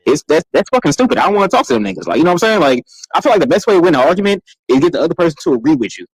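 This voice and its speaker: A man's voice: on the high side, big pitch swings, breathy edge, no echo, low-quality recording. A man in his thirties from Texas, talking casually and rambling as a guest on an online panel. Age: 30s. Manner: fast, rambling, repeats himself. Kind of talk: casual podcast chat.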